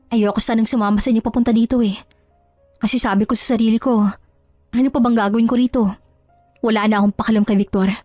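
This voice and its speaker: A woman's voice: on the high side, a little mellow, no room sound, close to an everyday voice. A woman playing a kind but wounded wife in an audio drama, speaking in a firm, questioning, emphatic way. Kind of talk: Tagalog audio drama acting.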